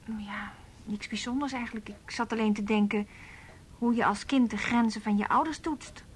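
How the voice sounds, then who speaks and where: A woman's voice: normal speed, breathy edge. An actress playing a broke, cheeky and wistful young woman in a naturalistic radio drama. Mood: defensive, musing. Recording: noisy radio play recording with rumble.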